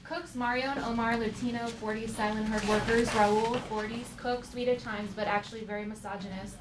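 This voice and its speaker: A woman with a fairly high voice reads stage directions for a live comedy table read. The room is echoey, with clear background noise. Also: steady tone, brisk. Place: live theater.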